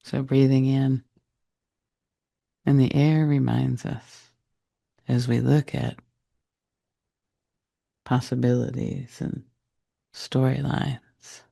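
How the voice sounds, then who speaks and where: A man leading a guided meditation in a soft, breathy, husky voice, speaking deliberately in short phrases with long pauses. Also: medium pitch, flat delivery. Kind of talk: guided meditation, slow soothing narration.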